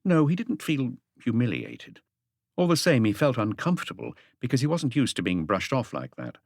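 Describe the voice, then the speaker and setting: A man's voice: medium pitch, huge pitch swings, normal speed, a little mellow, no echo, clean studio sound. A man reading scripted literary narration for a mystery audiobook.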